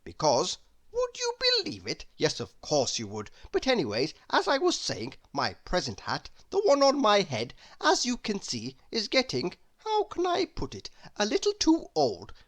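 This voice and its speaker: A woman's voice: mid-range voice, huge pitch swings, normal speed, voice pushed up. A woman voicing a rambling, chattering storybook man who keeps second-guessing himself. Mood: rambling, dithering. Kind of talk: children's storybook character voice.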